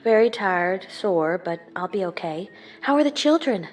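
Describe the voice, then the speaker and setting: A woman's voice: mid-range voice, animated, normal speed, natural voice. Actress as a tired, caring mother. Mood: weary, affectionate. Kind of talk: audio drama acting. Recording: music under the voice.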